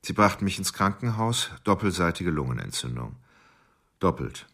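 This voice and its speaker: A man's voice: sits low, very animated, normal speed, a bit bright, full character voice. An actor playing a remorseless killer who narrates his crimes in a detached way in a radio play. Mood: cold, detached. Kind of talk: dramatic first-person radio play monologue.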